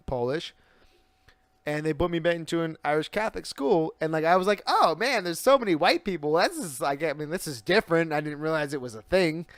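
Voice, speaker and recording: A man's voice: fairly high pitch, clean tone, no echo. A young man hosting a podcast, loose and joking, swearing casually as he tells his own story. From grew up in Singapore. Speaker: podcast host.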